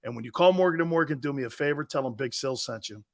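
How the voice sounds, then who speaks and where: A man's voice: mid-range voice, fast talker. A middle-aged man hosting a sports talk show, telling stories with laughter and acting out other people's voices, then reading an ad. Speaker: host. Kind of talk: storytelling chat with ad read.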